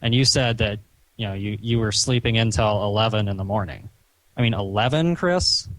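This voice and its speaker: A man with a fairly low voice lectures someone over a recorded call. He sounds stern and reproachful, speaking haltingly, and the sound is dry and close. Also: a bit bright, no room sound, rough recording. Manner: stern, chiding, halting with frequent "you know". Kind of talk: phone conversation, reproachful lecturing.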